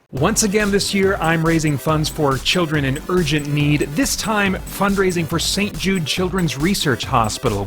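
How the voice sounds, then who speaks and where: A man with a high-pitched voice presents as the host of an online channel. He delivers an upbeat scripted pitch with playful self-deprecation. Music plays behind him. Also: a bit bright. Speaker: host of a geek-culture review channel. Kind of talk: upbeat scripted review outro and fundraiser pitch.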